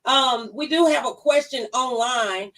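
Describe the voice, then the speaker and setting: A woman's voice: high voice, a little slow, fairly bright tone. Woman hosting, a bit far from mic. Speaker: talk show host. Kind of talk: conversational live call-in show hosting. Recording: distant from the microphone.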